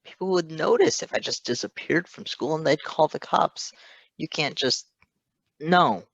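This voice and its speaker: A man's voice: a little high, animated, unhurried, creaky, a bit bright, no echo, lo-fi sound, voice pushed up, full character voice. A man voicing a guarded, hesitant teenage boy supervillain in a read-aloud story. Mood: anxious, hesitant.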